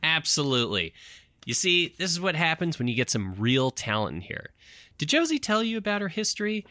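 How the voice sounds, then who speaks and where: A man's voice: a little high, sing-song, brisk, sharp tone, a little hiss or hum. A man playing an eager, gullible radio station colleague in an audio comedy drama, gushing and rambling as he talks.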